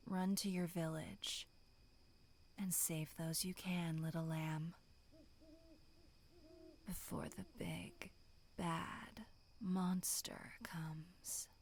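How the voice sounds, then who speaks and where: A woman voicing a bloodthirsty female demonic monster in a breathy, menacing whisper for a horror ASMR roleplay, sinister yet tender. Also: lowish voice, steady tone, slight background noise. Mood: quietly persuasive. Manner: slow, drawn-out, menacing whispering.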